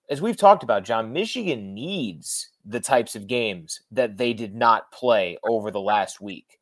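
A male sports broadcaster talks as a podcast guest with an average-pitched voice. He gives casual analysis in a conversational way, leaning on his points with emphasis.

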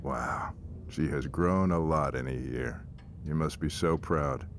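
An actor playing a domineering, mocking middle-aged business partner in an audio drama, condescending and theatrical in manner. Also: male voice, extremely low pitch, slow, vocal fry, slight background noise, full character voice. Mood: smug, mocking.

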